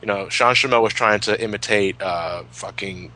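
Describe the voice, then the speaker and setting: A man in his twenties chatting casually as a podcast commentator, reminiscing in a halting, sweary way and often repeating his words. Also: fairly low pitch, bright, forceful tone, poor audio. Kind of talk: casual retrospective chat, reminiscing.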